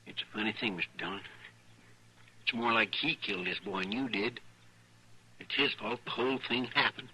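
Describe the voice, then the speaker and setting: Radio western sidekick: American, folksy, plain-spoken, gravel-edged and bright, loyal and musing. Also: male voice, on the low side, normal speed, long pauses, exaggerated voice, low-quality recording. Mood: troubled musing. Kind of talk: 1950s radio western acting. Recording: old radio drama recording.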